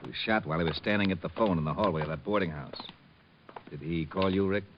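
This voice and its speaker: A deep-voiced police lieutenant played by a male actor from an old radio crime drama. He is terse, probing and businesslike. The sound is muffled and noticeably noisy. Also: quick pace, natural voice. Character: businesslike, no-nonsense police lieutenant questioning a friend. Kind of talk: 1940s-50s radio crime drama acting.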